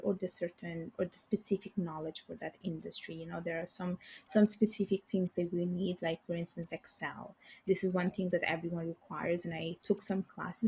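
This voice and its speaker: Husky-voiced young woman telling her story. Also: lowish voice, mellow, poor audio. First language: Bengali and Italian. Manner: chatty storytelling, frequent fillers and restarts. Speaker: guest, finance professional. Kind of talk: casual interview conversation.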